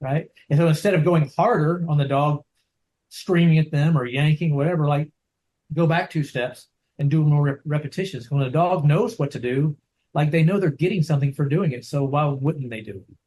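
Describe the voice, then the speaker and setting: A man who trains dogs, talking casually over a video call, soft-spoken and rambling, often checking in with "right?". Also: fairly high pitch, moderate pace, low-quality recording. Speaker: dog trainer.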